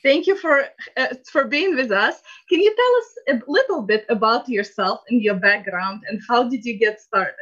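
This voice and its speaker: A woman's voice: fairly high pitch, lively intonation, normal speed, hardly any pauses, fairly bright tone, breathy edge, lo-fi sound. A woman hosting a live interview, reading friendly introductions with some hesitation. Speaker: host.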